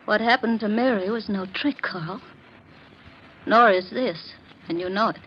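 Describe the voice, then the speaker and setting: An actress playing an uneasy, insistent wife in a 1940s radio mystery, speaking with urgent, pointed delivery. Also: female voice, mid-range voice, measured pace, lightly acted. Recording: muffled, noisy 1940s radio recording.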